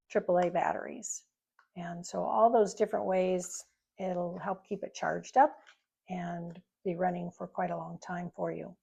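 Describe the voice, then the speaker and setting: A woman with noticeable creak in her voice walks through a product demonstration. She sounds informal and explanatory, rambling a little from point to point at an average pitch for a woman. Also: mellow. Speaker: product reviewer. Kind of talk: casual product review demonstration.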